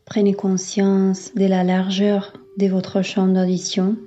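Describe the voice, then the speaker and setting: Slow, mellow woman guiding meditation. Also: mid-range voice, highs cut off. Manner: calm, soothing, unhurried instruction with long pauses. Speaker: yoga instructor. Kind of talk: guided meditation, yoga nidra.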